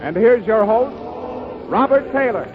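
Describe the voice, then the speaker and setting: A man with a high, ringing voice opens a 1930s radio show in grand, ceremonious style. The sound is thin and tinny, with loud music underneath. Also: expressive, measured pace. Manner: booming, ceremonious delivery. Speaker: radio announcer. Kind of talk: formal announcer introduction.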